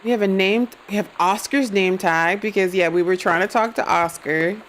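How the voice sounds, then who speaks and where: A woman streaming a game live, expressive and reacting out loud, with some swearing. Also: medium pitch, moderate pace, slight background noise, rough recording. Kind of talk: live gameplay commentary.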